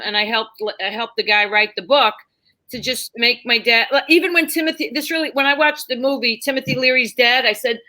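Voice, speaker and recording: Bright, high-voiced New woman telling stories. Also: low-quality recording. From New York, United States. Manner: candid, emphatic, acts out dialogue. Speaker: comedian guest. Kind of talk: animated conversational storytelling.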